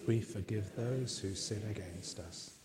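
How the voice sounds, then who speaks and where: Slow, solemn man leading prayers. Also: fairly low pitch, a crowd in the background. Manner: slow, solemn, measured recitation. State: losing his voice. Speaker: minister leading communion. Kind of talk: liturgical prayer. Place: church.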